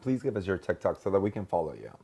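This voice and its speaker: A man's voice: low voice, big pitch swings, quick pace, gravelly, dark tone, husky. A man hosting a podcast interview, asking curious questions and laughing easily. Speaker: podcast host. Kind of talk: casual interview.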